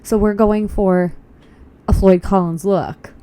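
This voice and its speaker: A woman's voice: mid-range voice, steady tone, slow pace, mellow, smooth. A young woman vlogging in a casual, upbeat, chatty way, thinking aloud, her voice a little tired. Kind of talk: casual vlog chat. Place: walking outdoors on a loud city street.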